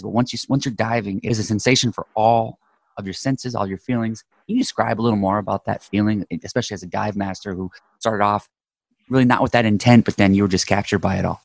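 A man's voice: fairly low pitch, vocal fry, dry recording. Male interview host, very dry close sound. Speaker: podcast host interviewing a guest. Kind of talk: introducing and interviewing a guest.

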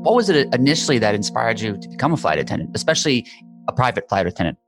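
A man's voice: medium pitch, big pitch swings, very fast, over music, low-quality recording. A man hosting a travel podcast, reading an upbeat intro and then interviewing his guest. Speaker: podcast host.

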